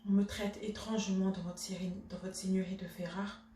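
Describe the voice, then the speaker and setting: A woman's voice: a little high, steady tone, takes it slowly, slight background noise. An actress playing a proud, outraged Renaissance noblewoman in a theatrical monologue, imperious and declamatory in her formal address. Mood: cold, menacing. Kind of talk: theatrical classical stage monologue. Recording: echoey room, distant microphone.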